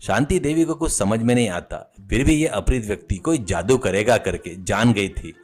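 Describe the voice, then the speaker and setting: Male storyteller over faint music. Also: on the low side. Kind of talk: scripted story narration.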